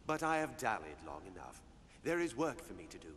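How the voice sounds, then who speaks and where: A man's voice: mid-range voice, big pitch swings, fairly quick, a bit bright, a little hiss or hum. A man playing a humble, devout novice village priest in a fantasy video game, with formal, earnest, archaic speech. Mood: joyful, grateful.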